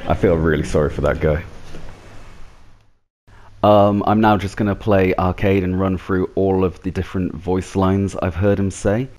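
A man's voice: deep voice, a little mellow. A man giving casual gameplay commentary as the creator of a gaming video. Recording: clear game noise behind the voice. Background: game ambience.

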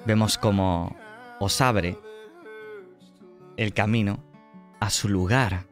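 Low-voiced Spanish man narrating over music. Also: fairly even intonation, slow. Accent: Spanish (Spain). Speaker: game master. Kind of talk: narrating a tabletop roleplaying game. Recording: music behind the voice.

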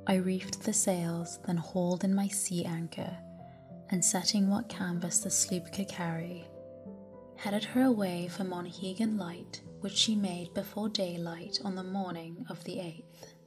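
A woman narrating a classic sailing memoir as a sleep story, reading slowly and softly and dropping into whispers at times. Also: fairly low pitch. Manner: slow, soft, calm reading with whispered stretches. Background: soft music.

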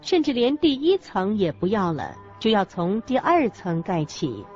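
A woman's voice: mid-range voice, big pitch swings, unhurried. A woman reading scripted religious narration as co-narrator of a radio lecture. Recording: dull lo-fi audio with background music.

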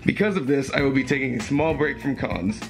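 A young man with a voice going hoarse, speaking earnestly and haltingly with fillers and restarts, recorded close on a webcam in a small room at home. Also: mid-range voice, music in the background. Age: young adult. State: losing his voice, hoarse. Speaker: commentary YouTuber. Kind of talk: reading aloud and commenting.

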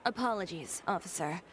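An actress playing a proud, unflappable young fashion designer in an anime video game, measured and dryly sardonic. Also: female voice, mid-range voice, noisy background.

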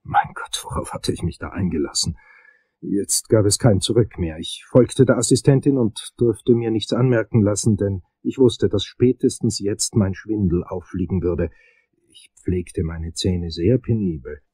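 An actor playing a wry, world-weary investigator who narrates his case in a crime audio drama, husky and dry in delivery. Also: lowish voice, measured pace, dark tone, a little hiss or hum, close to an everyday voice. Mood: tense, brooding.

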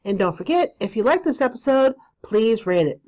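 A woman's voice: a little high, big pitch swings, a little mellow, dull audio. Stuffy-voiced woman with a cold. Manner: chatty, many ums and trailing pauses. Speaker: spiritual podcast host. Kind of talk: casual solo podcast talk. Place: small room indoors.

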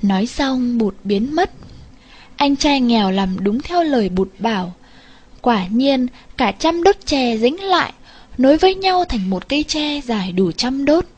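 Woman, fairly high voice, expressive fairy tale narration, storyteller.

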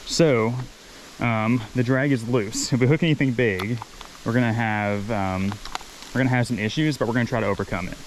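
An enthusiastic man talks through a fishing trip at a rocky waterfall pool, chatty and quick to laugh. His voice sits in a middle range, picked up by a camera he wears, with faint outdoor noise around him. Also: medium pitch, rough recording. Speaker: fishing channel host. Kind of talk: excited outdoor vlog commentary. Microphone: head-mounted camera mic.

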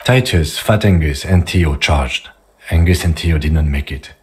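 An actor playing a calm, dutiful police lieutenant in a video game, speaking in a measured, clipped, understated way. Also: male voice, a bass voice. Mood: subdued, factual. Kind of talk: video game dialogue acting.

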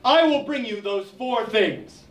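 A man's voice: very high voice, lively intonation, unhurried. A man voicing a small, clever spider trickster who sounds upbeat and eager. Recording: roomy, from a distant mic.

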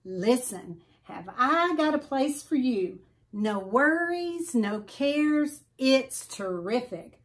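A woman's voice: high voice, animated, slow. A woman voicing a big, persuasive bird with an emphatic, coaxing salesman's manner in a storybook. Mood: coaxing, upbeat.

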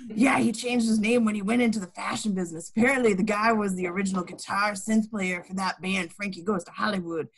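Casting: knowing, gossipy informant read by a woman, raspy mid-range voice, amateur online script reading. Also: mid-range voice, steady tone, fast talker, few pauses, rough recording. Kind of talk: amateur table read over video call. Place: home, remote video call.

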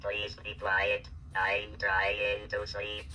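A synthesized male voice speaking as a small, polite, earnest robot pet in a stilted monotone. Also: fairly low pitch, extremely slow. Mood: flat, polite. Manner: stilted, flat machine delivery. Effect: vintage robot speech synthesizer. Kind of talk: vintage speech-synthesizer robot voice. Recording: distant, noisy workshop recording.

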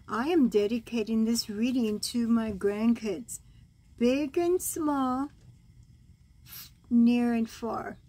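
A woman in her sixties reading a bedtime storybook aloud as a grandmother, gentle and expressive, cooing over the pictures. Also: a little high, slightly rough, poor audio. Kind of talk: read-aloud bedtime story with side comments. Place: small room at home, indoors.

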